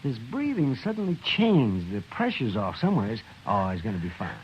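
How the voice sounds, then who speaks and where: An actor playing a kindly, excitable frontier town doctor in a 1950s radio western, cheery and reassuring. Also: male voice, medium pitch, normal speed. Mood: relieved, cheery. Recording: muffled old radio recording, slight hiss.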